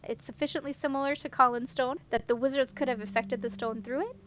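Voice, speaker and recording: A woman voicing a composed, commanding female dragon monarch with a mild, measured, dryly amused manner. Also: sits high, steady tone, noisy background, lightly acted. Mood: dryly regal.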